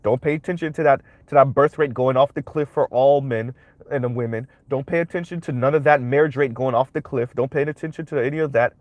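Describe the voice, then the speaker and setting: A man's voice: medium pitch, fairly quick, darkish tone, no room sound, lightly acted. A man putting on a dismissive, scolding female online commentator, mocking her with repeated brush-offs.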